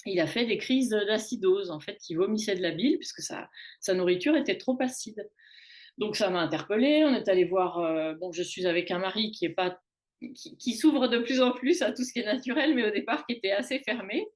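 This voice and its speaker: A woman's voice: medium pitch, expressive. A woman in her late forties talking casually as a show guest, often stopping and restarting her sentences. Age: late 40s. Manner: halting, many restarts and fillers. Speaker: guest on a women's wellness talk show. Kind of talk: casual conversational talk. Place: in Canada.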